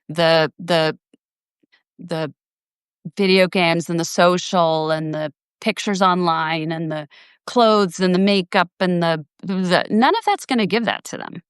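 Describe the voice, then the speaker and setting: A woman's voice: on the low side, clear, resonant tone, no echo, studio quality. Reflective woman thinking aloud. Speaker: host. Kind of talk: casual conversation on a live video. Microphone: video call.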